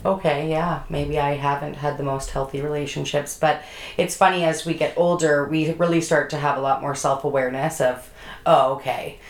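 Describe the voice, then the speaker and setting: A woman's voice: low-pitched, fairly even intonation, normal speed, roomy sound. A woman hosting a podcast, chatty and curious, talking casually and laughing easily. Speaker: podcast host.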